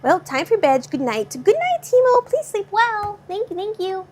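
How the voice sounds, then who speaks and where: A woman's voice: very high voice, sing-song, normal speed, over music. A young woman from Southern California with a light Californian accent, chatting casually with viewers while she cooks in her home kitchen and reads out their comments. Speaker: vlogger cooking live and answering chat. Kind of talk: casual live-stream chat.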